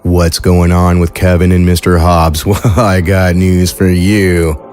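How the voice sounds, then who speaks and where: Male announcer, low register, playful folksy showman for serial credits, light music underneath. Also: little pitch movement, unhurried, darkish tone.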